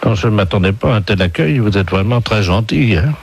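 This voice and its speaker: A man's voice: on the low side, lively intonation, a little fast, a little hiss or hum. A man playing an earnest, polite insolvent bank customer who rambles gratefully and insistently on a prank phone call. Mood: grateful, effusive.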